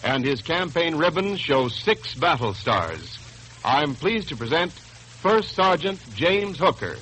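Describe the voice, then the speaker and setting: A man's voice: a little high, very animated, slow, fairly bright tone, a little hiss or hum, poor audio. An American man announcing in scripted 1940s radio style, emphatic, formal and polished, on an old broadcast. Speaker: radio announcer and sponsor spokesman.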